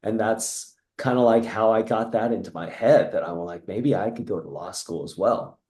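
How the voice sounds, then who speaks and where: Guest, middle-aged US man, mellow, reflective and rambling, echoey room. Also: fairly low pitch, normal speed. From United States (Seattle; born in Taiwan). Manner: reflective, rambling with restarts and soft laughs. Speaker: guest, entrepreneur. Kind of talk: casual interview.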